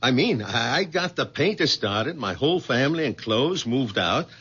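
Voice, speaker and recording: A man's voice: medium pitch, very animated, moderate pace, a bit bright, breathy edge, exaggerated voice. An actor in a 1970s radio drama playing a pushy working-class family man, talking bluntly and colloquially. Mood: brash, insistent. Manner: colloquial, blunt, folksy double negatives. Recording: dull vintage radio recording.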